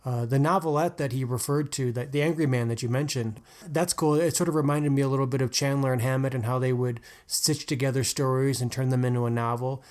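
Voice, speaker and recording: A man's voice: mid-range voice, steady tone, fairly quick, few pauses, darkish tone. Chatty man co-hosting a book podcast. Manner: conversational, with frequent "like" and "uh". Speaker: podcast co-host. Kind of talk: casual book discussion.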